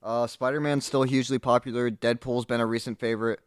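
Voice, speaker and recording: Fast-talking man rambling in a podcast chat. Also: mid-range voice, clear, resonant tone, dry recording, clean studio sound. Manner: rambling, filler-heavy, animated storyteller. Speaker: podcast co-host. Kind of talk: casual chat.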